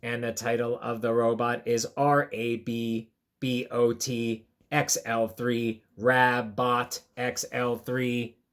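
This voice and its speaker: A woman puts on a boy bunny pretending to be a robot in a storybook. The delivery is low and deliberate, with a slow, word-by-word pace. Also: flat delivery. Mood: mechanical, deliberate. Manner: deliberate, spelling out letters. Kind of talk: picture book read-aloud.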